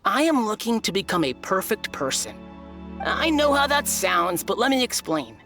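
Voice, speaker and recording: Smug, boastful contestant. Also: female voice, medium pitch, sing-song, moderate pace, fairly bright tone, soft background music. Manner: boastful, self-satisfied. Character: smug self-assured tournament contestant. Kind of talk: video game voice acting.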